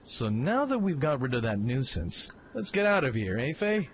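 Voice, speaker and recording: A man acting a brash, excitable young mech pilot in a fan radio play. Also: medium pitch, moderate pace, close to an everyday voice. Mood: breezy, casual. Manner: brash, cocky, exclamatory. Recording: clearly noisy background ambience.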